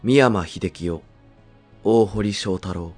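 A man's voice: fairly low pitch, slow pace, faint music behind. A man reading scripted credits as the narrator of an audio drama.

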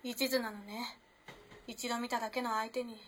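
Casting: actress as enigmatic young 1910s modern woman for radio drama; highish crisp-edged voice, cool and teasing, noisy ambience behind. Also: little pitch movement, moderate pace, a bit bright, natural voice. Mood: gentle, curious. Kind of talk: dramatic radio play acting. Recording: clear background noise and ambience.

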